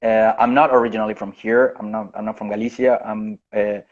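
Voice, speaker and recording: A low-voiced man from Chile talks over a video call, with little rise and fall in his voice. He takes his time and often restarts or corrects himself. The call audio sounds dull and lo-fi. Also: little pitch movement. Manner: unhurried, with frequent restarts and self-corrections. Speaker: head winemaker, guest.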